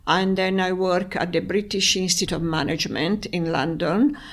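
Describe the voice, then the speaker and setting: A woman recalls her move to London. Her voice sits a little low and carries a strong gravelly fry. She speaks hesitantly, with frequent fillers. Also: fairly even intonation, moderate pace. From Italy. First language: Italian. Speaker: university lecturer. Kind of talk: interview answers.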